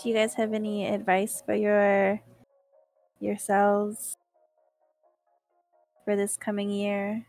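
A woman's voice: medium pitch, monotone, takes it slowly, long pauses, darkish tone, faint music behind. A woman in her twenties co-hosting a podcast, teasing her friends, giggling and asking the group questions. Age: 20s. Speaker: podcast co-host. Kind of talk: casual chat.

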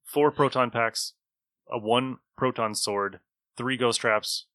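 A man's voice: medium pitch, slow, sharp tone, clean tone, dry recording. A man acting as game master, narrating scenes and bantering with players in a tabletop role-playing game.

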